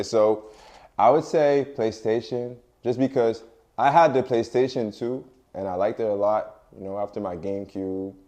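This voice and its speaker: A man's voice: lowish voice, darkish tone. A young man whose first language is French, a music artist giving casual, laid-back interview answers with lots of fillers and frequent laughs.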